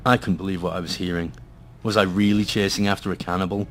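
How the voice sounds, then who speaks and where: A man's voice: sits low, steady tone, fast talker, slight background noise, lightly acted. An actor playing a gloomy hard-boiled male detective, narrating and talking in a noir radio play with brooding drama. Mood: brooding, grim.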